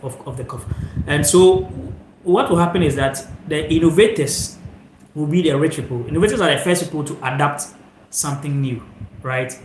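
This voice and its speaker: A young man from Ghana hosting a live stream, talking reflectively to viewers into a phone held sideways, rambling with fillers. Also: on the high side. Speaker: channel host. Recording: echoey room, faint noise.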